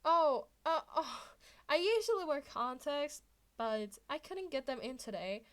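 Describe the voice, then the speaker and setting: A woman voicing an excitable, mischievous teenage schoolboy in a story reading, exclaiming and stammering. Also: sits high, measured pace, voice pushed up. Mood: sheepish.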